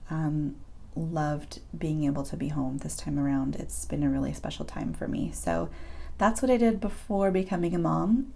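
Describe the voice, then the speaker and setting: A woman in her thirties with a hoarse voice, chatting casually to her viewers as she answers their questions, with frequent ums. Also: low-pitched, darkish tone. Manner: chatty, many ums, open about personal experiences. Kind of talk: casual chat to camera.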